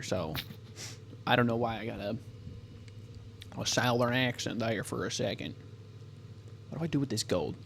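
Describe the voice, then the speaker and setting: A man talks casually over his gameplay at a middling pitch, thinking aloud as he plays. He rambles and keeps stopping to correct himself. Faint noise sits under the voice. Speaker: gameplay commentator. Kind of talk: casual let's-play commentary.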